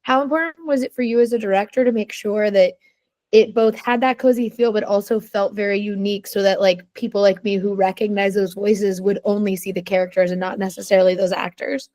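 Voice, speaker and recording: A woman's voice: medium pitch, fairly even intonation, brisk, darkish tone, no room sound. A woman interviewing a film director, asking chatty and enthusiastic questions in a casual way.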